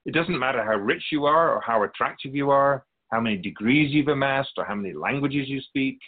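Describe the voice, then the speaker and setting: A man playing a wise otherworldly keeper of souls in a radio drama, speaking in a measured, philosophical, instructive way. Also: mid-range voice, lively intonation, narrow, tinny audio. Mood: calm, instructive. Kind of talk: radio drama dialogue in interview form.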